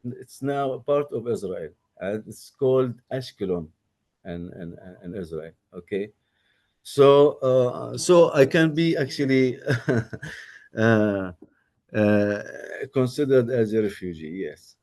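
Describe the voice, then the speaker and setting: A man with an Arabic accent delivers an academic lecture at a middling pitch. He speaks haltingly, often pausing on fillers and restarting his phrases as he explains. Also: lively intonation, darkish tone. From Gaza Strip, Palestine. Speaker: guest lecturer, professor.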